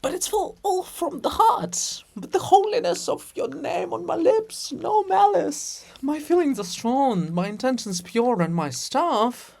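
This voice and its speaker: A man's voice: very high-pitched, animated, measured pace, creaky, few pauses, pitched above the performer's own voice, heavily acted. A man voicing a lovesick monument of an apostle, pleading floridly and melodramatically as a scorned suitor in a farce. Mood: high pleading.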